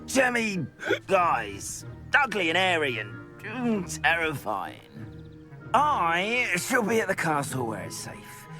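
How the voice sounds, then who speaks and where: Terrified, wildly swooping caretaker. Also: male voice, sits high, huge pitch swings, music in the background, exaggerated voice. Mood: terrified, shaky. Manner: halting, quavering, stammering with fear. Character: terrified, cowardly, dithering male castle caretaker. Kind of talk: video game voice acting.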